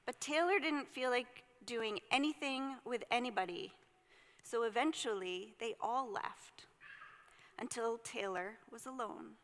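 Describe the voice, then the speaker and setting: A woman reading a children's story aloud expressively and deliberately, with gentle delivery and dramatic pauses. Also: on the high side. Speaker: storyteller at a church service. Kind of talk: expressive read-aloud of a children's picture book. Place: church sanctuary. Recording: hall reverb.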